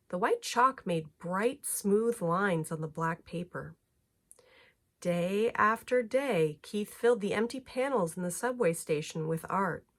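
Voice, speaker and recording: Woman doing a storybook read aloud for kids, really lively pitch, expressive teacher style. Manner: animated, clearly phrased storytelling for children. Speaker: teacher reading a picture book aloud.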